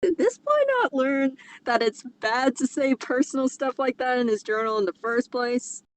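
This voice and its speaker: A woman's voice: high voice, lively intonation, normal speed, poor audio. A woman co-host casually bantering and commenting during a fan-fiction reading.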